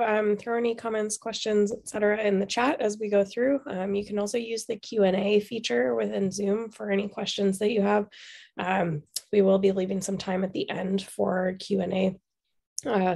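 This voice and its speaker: A woman hosting a webinar over a video call, her voice fading and hoarse. There's a gravelly fry under her words, and she fills gaps with casual ums. She sits at a mid pitch and talks through a call microphone. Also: fairly even intonation, moderate pace, darkish tone. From Seattle. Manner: casual, many filler ums. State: losing her voice. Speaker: webinar host. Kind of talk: webinar hosting, housekeeping announcements.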